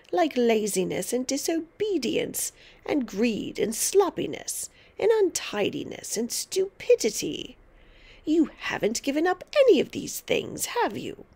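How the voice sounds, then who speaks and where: Woman playing storybook old grandmother, high swooping voice, bossy, scolding, gloating, snappish. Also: darkish tone, exaggerated voice. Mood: scornful, boasting.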